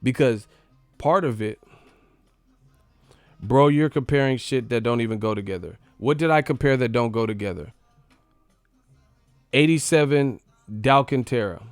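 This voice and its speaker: A man's voice: mid-range voice, moderate pace, long pauses, clean tone, no room sound, faint music behind. Male livestream boxing commentator, mid-range voice, unscripted, blunt, slangy and profane, recorded dry. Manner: blunt, opinionated, slangy, swearing. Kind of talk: casual unscripted livestream fight breakdown.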